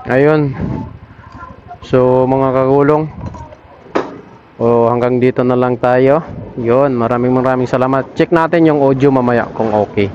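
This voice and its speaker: A man's voice: mid-range voice, fairly even intonation, a little slow, pauses often. Male moto vlogger, casual and chatty, helmet intercom sound slightly muffled over faint road noise. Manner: friendly, addressing viewers with shoutouts. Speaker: motorcycle vlogger. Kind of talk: casual vlog chat while riding. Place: outdoors on a motorcycle in evening city traffic. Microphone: helmet intercom linked to action camera. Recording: muffled audio with traffic and engine noise. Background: vehicle and street noise.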